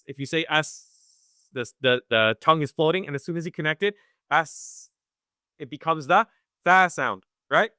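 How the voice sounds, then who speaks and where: A man teaching Quran recitation in a webinar, talking conversationally, often checking in with "right?" and sounding out recitation slowly. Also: fairly high pitch, sharp tone, clean tone, no room sound, studio quality. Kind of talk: live webinar lesson.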